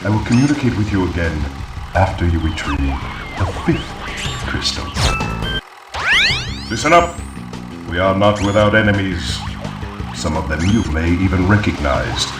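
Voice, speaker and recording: An actor playing a pompous, scheming mad scientist villain in a video game cutscene, grandiose and theatrical. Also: male voice, low-pitched, expressive, normal speed, long pauses. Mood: businesslike. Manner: theatrical, pompous, exasperated outbursts. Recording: roomy, distant, music underneath. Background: music.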